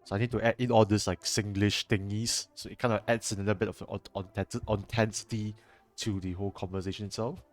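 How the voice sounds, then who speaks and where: A man's voice: fairly low pitch, little pitch movement, fast, faint music behind. A young man with a Singaporean accent chatting casually to his stream viewers, rambling with lots of fillers and restarts. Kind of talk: casual chat answering viewer chat while editing. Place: home room, indoors. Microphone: headset.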